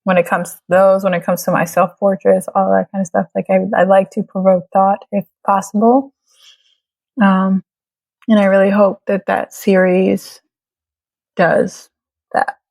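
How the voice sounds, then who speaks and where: A woman's voice: medium pitch, little pitch movement, unhurried, vocal fry, a little mellow, poor audio. A woman in her late twenties, from Trinidad and raised in Florida, speaking thoughtfully as an interview guest and laughing often. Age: late 20s. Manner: reflective, laughs often, many fillers. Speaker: birth photographer, guest. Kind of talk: casual interview chat.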